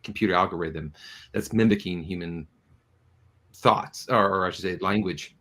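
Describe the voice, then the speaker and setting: A man giving earnest interview answers as a guest producer, explaining his points in a measured, halting way. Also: low-pitched, bright, forceful tone.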